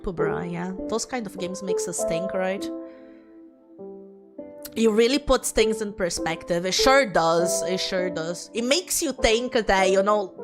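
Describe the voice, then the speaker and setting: Woman streamer with very lively pitch. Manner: expressive, exclamatory, slangy. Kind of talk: live visual novel playthrough with casual chat. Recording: music behind the voice.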